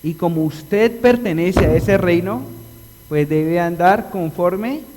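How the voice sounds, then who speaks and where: A man preaching a live sermon in a chapel, explaining a text and asking the congregation questions, drawing out key words slowly. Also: high voice, a little mellow. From Colombia. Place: church chapel. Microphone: livestream recording. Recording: long reverb of a church hall.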